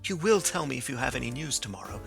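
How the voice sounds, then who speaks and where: A man's voice: medium pitch, sing-song, fast, gravelly, soft background music. A man voicing an earnest young woman who is devoted to her father, speaking in a raised, pleading voice.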